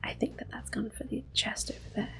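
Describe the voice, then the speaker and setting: Soft-spoken young woman whispering close. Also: rumbling low, a little fast, vocal fry. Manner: calm and chatty, with gentle fillers. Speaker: ASMR gaming host. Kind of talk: ASMR gameplay commentary. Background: faint video game music.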